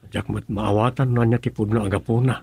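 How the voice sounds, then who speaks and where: Radio drama role: wounded, sincere Ilocano villager, slightly raspy voice, close dry sound. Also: male voice, on the low side, steady tone, fast, mellow, no room sound. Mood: heartbroken. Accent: Ilocano Filipino. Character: earnest, lovelorn, wounded young village man.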